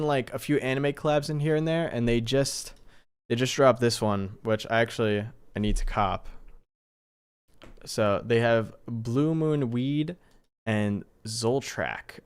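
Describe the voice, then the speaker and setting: A man's voice: medium pitch, clean tone. A man hosting a livestream, chatting casually and informally in slangy, appreciative asides to his viewers. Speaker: live streamer and host.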